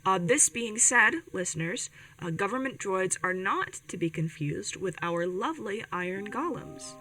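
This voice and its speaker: A woman's voice: mid-range voice, expressive, normal speed, sharp tone. A woman playing an earnest, caring older sibling who hosts a community radio show in an audio drama, chatty and a little hesitant. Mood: earnest, explanatory. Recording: music bed behind the voice.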